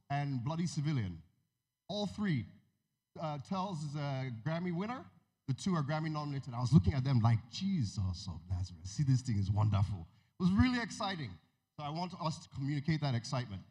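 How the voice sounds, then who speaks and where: A man's voice: fairly high pitch, a little mellow. A man giving a conversational conference keynote, speaking Nigerian English with an American tinge, asking the audience questions and joking in asides. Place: large conference hall. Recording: long hall reverb.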